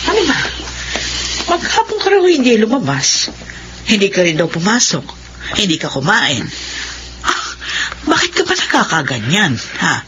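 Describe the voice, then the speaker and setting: Casting: tender, anxious Filipino matriarch, highly animated, pleading; dull radio sound over music. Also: female voice, normal speed, pauses often, noisy background. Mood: worried, reproachful. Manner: emotional, pleading, swooping delivery. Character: anxious, tender, devout elder woman, grandmother and mother. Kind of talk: Filipino radio drama acting. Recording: band-limited radio drama, music behind.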